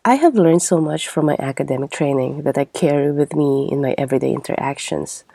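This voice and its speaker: A woman in her thirties hosting a podcast, delivering a reflective scripted monologue in a measured, articulate way. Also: sits low, vocal fry, dark tone, dry recording. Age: 30s. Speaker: podcast host.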